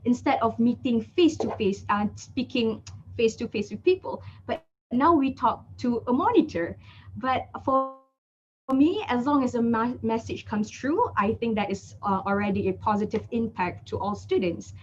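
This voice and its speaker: A woman's voice: a little high, expressive, moderate pace. A young woman student speaking earnestly on an online panel, over a video call, with many fillers. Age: young adult. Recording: dull video call audio.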